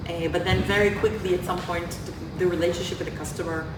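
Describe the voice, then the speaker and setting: A woman, Russian-born, speaks candidly and with self-deprecating humor, often restarting her sentences. Her voice sits fairly low and is picked up from a distance in a roomy hall. There is a steady hiss of noise around her. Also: fast. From Israel. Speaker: startup founder panelist. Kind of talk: live panel discussion. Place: event hall with audience. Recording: echoey room, distant mic, noisy.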